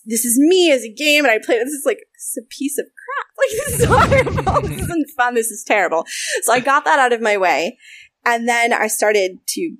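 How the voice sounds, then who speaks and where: Lively young woman, laughing chat. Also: fairly high pitch, no echo. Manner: animated, laughs often, quick asides. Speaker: voice actor and game developer. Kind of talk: casual interview chat.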